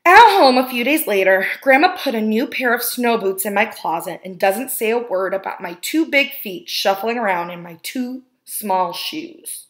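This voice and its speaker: A woman reading a children's picture book aloud as a storyteller, expressively and with deliberate emphasis on key words. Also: medium pitch, animated, a bit bright. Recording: roomy, echoing indoor sound.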